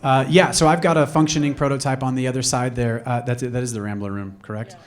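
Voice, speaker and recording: Fast-talking man at a live event. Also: medium pitch, steady tone. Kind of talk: casual live answer to audience.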